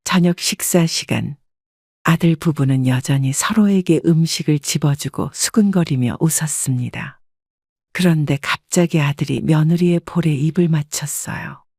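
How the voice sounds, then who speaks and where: A woman narrating a family story in a calm, measured way, recorded on a close studio mic. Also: low-pitched, dry recording.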